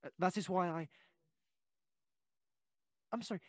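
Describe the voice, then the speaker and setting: A male actor playing a courteous, inquisitive Victorian gentleman in an audio drama, hesitant and effusive with old-fashioned phrasing. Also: high voice, a little slow, no room sound, studio quality. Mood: chatty, apologetic.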